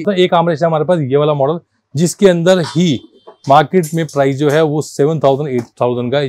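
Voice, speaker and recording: A man gives a casual, persuasive sales pitch as a shop seller, often repeating himself and mixing languages informally. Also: darkish tone, dry recording. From India. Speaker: car accessories shop seller and presenter.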